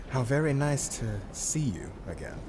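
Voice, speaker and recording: A man's voice: mid-range voice, very animated, slow pace, background noise. A man playing a feared pirate overlord in an audio drama, a sly, gloating villain with a mock-courteous, taunting, theatrical delivery. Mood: smug, taunting. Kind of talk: cinematic audio roleplay acting.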